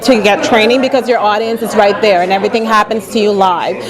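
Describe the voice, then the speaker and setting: A woman's voice: mid-range voice, steady tone, quick pace, rough recording. A woman from the Bahamas, an actor, answering thoughtfully with light laughs in an interview. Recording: loud crowd around.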